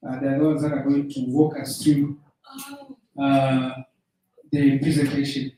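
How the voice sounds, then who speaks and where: A man with a Zambian accent moderating a session, introducing it informally and haltingly. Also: medium pitch, little pitch movement, dark tone, a little hiss or hum. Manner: hesitant, halting. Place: conference room. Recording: very echoey room, distant mic.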